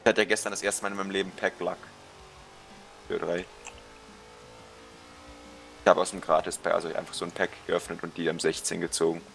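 A man's voice: sits low, level tone, brisk, gravelly, pauses often, a bit bright, slight background noise. A young man streaming a racing game, joking and swearing casually with a friend. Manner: slangy, joking, swears and laughs. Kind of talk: casual gaming stream chat.

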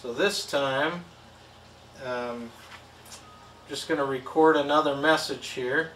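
A man's voice: mid-range voice, steady tone, takes it slowly, a bit bright. A middle-aged man giving a casual, step-by-step instructional demo in plain language. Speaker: product demonstrator. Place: indoor tabletop demo setup with backdrop. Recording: echoey, distant mic with background noise.

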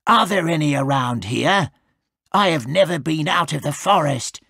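A woman's voice: low-pitched, animated, normal speed, creaky, fairly bright tone, slightly rough, no room sound, studio quality, voice pushed down, exaggerated voice. A woman voicing a small young male wolf in a storybook, naive, polite and guileless.

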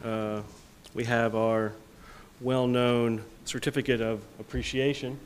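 A man at a medium pitch moderates a press luncheon, reading questions aloud with many halting pauses. Light background noise sits under his voice. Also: level tone. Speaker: event moderator. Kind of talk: moderating questions and presenting gifts. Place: press club event hall.

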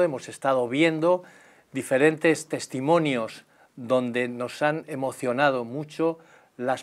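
A middle-aged man with an average-pitched voice hosts a studio interview show. He welcomes the audience and draws out his guest with prompting questions, recorded on a lapel mic. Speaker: TV show host. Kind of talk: hosting a faith testimony interview. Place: small TV studio set.

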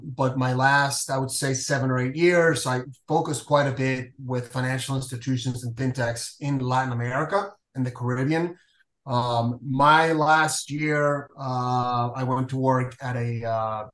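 A man's voice: medium pitch, roomy sound. A man in his forties from Venezuela, a payments executive talking casually on a video call with many filler uhs. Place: home room, indoors. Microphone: video call webcam.

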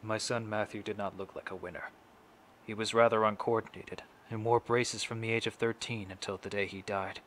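A young man acting a grieving, resolute father in a solemn, deliberate stage monologue. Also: lowish voice, fairly even intonation, a bit bright, natural voice. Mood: solemn grief. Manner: solemn, deliberate, measured phrasing. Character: grieving, resolute middle-aged father addressing his son's killer. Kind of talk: dramatic stage monologue recitation. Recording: noisy amateur home recording.

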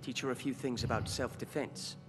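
An actor playing a formal, courteous young lord who gives orders in a dramatic game cutscene. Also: male voice, mid-range voice, steady tone, brisk, big-room sound, background noise, lightly acted. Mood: composed, commanding. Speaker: video game character.

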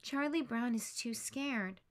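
A woman, a teacher, reading a children's picture book aloud, gentle and expressive. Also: on the high side, slow pace. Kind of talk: read-aloud storybook narration.

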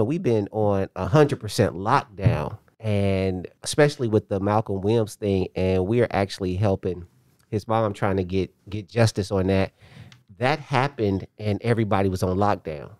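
A man who speaks with a low voice and easy, laid-back slang, laughing along with the host. The sound is dry and close, with no room echo. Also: normal speed, darkish tone. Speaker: pastor and business owner, guest. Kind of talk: casual chat.

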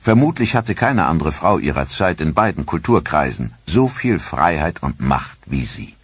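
Very deep, husky documentary narrator. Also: male voice, moderate pace, no echo. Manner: dramatic, expressive narration with rhetorical questions.

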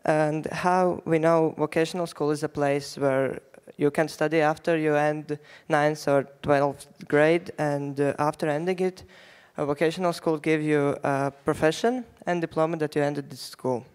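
Latvian teen guy speaking at a big conference panel into a handheld mic, higher clear voice, bit halting. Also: fairly even intonation. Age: teens. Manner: halting non-native phrasing with fillers. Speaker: youth delegate. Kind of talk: prepared panel speech. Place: large conference hall stage.

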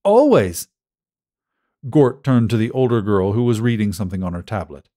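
A man's voice: lowish voice, fast, mellow. A man reading a scripted short story as an audiobook narrator.

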